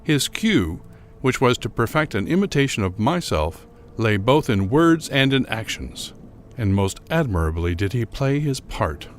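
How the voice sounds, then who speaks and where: A man playing a troubled, bitter gentleman who narrates a gothic horror tale in a formal, deliberate, theatrical manner. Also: mid-range voice, big pitch swings, soft background music. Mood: brooding, aggrieved. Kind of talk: dramatic first-person reading of gothic horror fiction.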